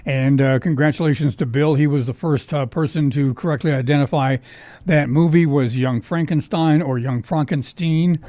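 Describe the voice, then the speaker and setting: A man with a slightly high voice hosts a casual oldies trivia program on the radio. He talks in a folksy, conversational way, and the sound is dry and close, with little room in it. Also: moderate pace, dark tone, dry recording. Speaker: radio show host. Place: radio studio.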